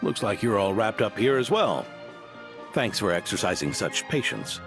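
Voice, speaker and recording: A man's voice: lowish voice, very animated, normal speed. An actor playing a genial, jaunty older knight captain in a video game, grandfatherly and undaunted. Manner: jaunty, reassuring. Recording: game music underneath.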